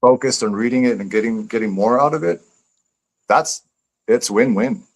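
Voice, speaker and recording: A man with an average-pitched voice talks casually as a guest author in a live interview. He is reflective and tends to ramble, circling back and repeating phrases while he works out what he means. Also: normal speed, gravelly, rough recording.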